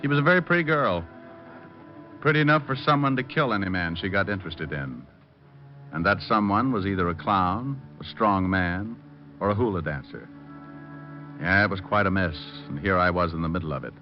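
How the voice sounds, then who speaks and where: Low-voiced wisecracking radio detective. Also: male voice, expressive, moderate pace, soft background music. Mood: wry narration. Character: wisecracking, flirtatious, dry male private detective. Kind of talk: hard-boiled radio drama, first-person narration. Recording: dull old radio recording.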